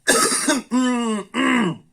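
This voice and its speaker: Slow, raspy man with a flu. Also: very high voice, pushed and bright. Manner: thoughtful, candid, slow and searching. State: hoarse with a flu. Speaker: video host. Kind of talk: candid educational monologue.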